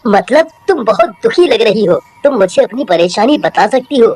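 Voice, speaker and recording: A woman voicing a kind-hearted village man with a gentle, earnest manner, in a cartoon story. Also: fairly high pitch, lively intonation, moderate pace, slightly rough. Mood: concerned. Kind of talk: Hindi horror cartoon voice acting.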